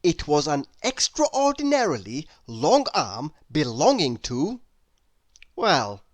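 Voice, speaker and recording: A man reading a children's story aloud with dramatic, deliberate, expressive narration. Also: fairly high pitch, dry recording. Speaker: storybook reader.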